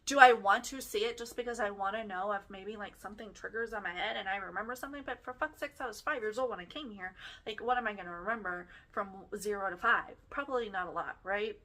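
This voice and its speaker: A young woman with a Texas accent talks frankly and casually about her own life. Her voice has a bright, forceful edge. She keeps checking in with the listener as she goes. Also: mid-range voice, fast talker, few pauses. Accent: Texan. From Germany. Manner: chatty, frank, peppered with "like" and "right?". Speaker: podcast host. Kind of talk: casual personal storytelling.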